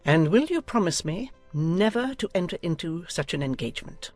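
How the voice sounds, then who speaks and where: A woman's voice: fairly low pitch, big pitch swings, moderate pace, a little mellow, dry recording. Imperious older noblewoman acted by a woman, indignant and declamatory; dry period audiobook sound with music underneath. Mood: demanding. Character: haughty, domineering older aristocratic lady, indignant and imperious. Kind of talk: dramatized audiobook acting.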